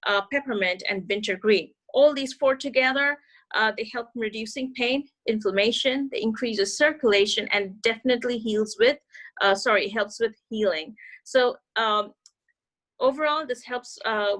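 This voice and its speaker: A woman's voice: a little high, normal speed, a bit bright. A woman presenting in a hesitant way, using lots of uh and you know. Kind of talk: casual group presentation.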